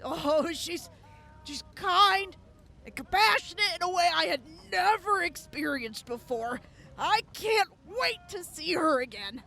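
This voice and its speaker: Woman doing a sweet earnest soldier girl, really high bright voice, slow and gushy. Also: expressive, full character voice. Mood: tender. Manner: earnest, shy and gushing.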